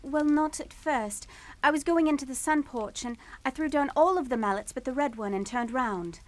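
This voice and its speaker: A woman acts a timid, distraught young Englishwoman in a radio thriller. Her voice is high, and she speaks in halting, tremulous phrases as a daughter under pressure. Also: natural voice. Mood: nervous, hesitant. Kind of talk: radio suspense drama acting.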